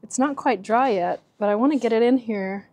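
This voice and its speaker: A woman's voice: fairly high pitch, normal speed, a little mellow. A woman in her forties casually narrating a do-it-yourself vehicle project, chatty and laughing often, recorded in and around an SUV. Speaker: vlogger. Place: inside an SUV and a carport.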